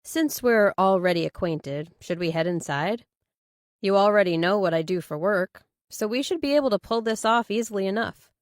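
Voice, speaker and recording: A woman's voice: medium pitch, animated, moderate pace, dry recording, studio quality, close to an everyday voice. A woman voicing a bashful, awkward male police detective in hesitant, sheepish audiobook dialogue. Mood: awkward, embarrassed.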